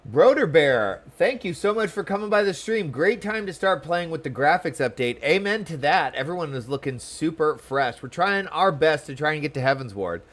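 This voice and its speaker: A man's voice: fairly high pitch, animated, normal speed, hardly any pauses, a bit bright. A man giving casual, chatty live gameplay commentary as a streamer, reacting informally. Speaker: game streamer.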